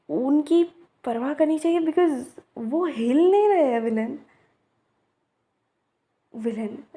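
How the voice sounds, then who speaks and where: A woman's voice: high voice, dark tone. A young woman acting out a romance roleplay to a silent listener, teasing and chatty.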